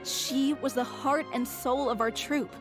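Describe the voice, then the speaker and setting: A woman playing a devoted, weary theater troupe member in a video game, with a heartfelt, measured delivery. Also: high-pitched, steady tone, music in the background. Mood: sorrowful.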